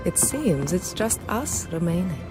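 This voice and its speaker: A gracious, reserved lady traveller speaks politely in a fairly low voice. Her delivery is composed, as game dialogue with music clearly behind it. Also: very animated, measured pace. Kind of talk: video game dialogue acting.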